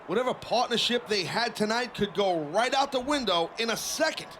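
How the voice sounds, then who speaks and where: A man giving excited color commentary on wrestling in a video game. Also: sits high, moderate pace, slight background noise. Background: loud music.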